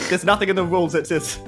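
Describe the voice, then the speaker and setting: A woman in casual, jokey, self-deprecating podcast banter. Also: lowish voice. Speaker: podcast player and co-host.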